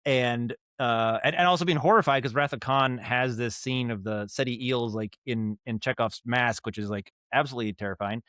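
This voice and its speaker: A man's voice: mid-range voice, normal speed, hardly any pauses, no echo. American man telling stories in casual interview. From Maine, United States. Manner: rambling, animated acted-out asides. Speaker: podcast guest, audio drama director and writer.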